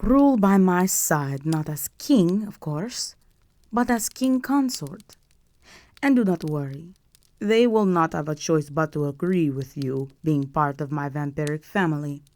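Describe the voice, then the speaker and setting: Slow, low-voiced regal vampire countess, acted by a woman. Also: animated, a little mellow, dry recording. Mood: commanding, persuasive. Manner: imperious, formal, deliberate, seductive. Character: regal, possessive vampire countess, seductive and commanding. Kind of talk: roleplay audio drama, intimate monologue to the listener.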